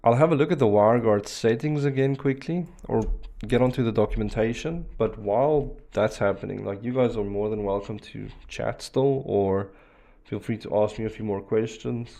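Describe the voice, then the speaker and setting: A man whose first language is Afrikaans, chatting casually on a live stream while he works through a networking lab, with relaxed fillers and restarts. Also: fairly low pitch, moderate pace, vocal fry.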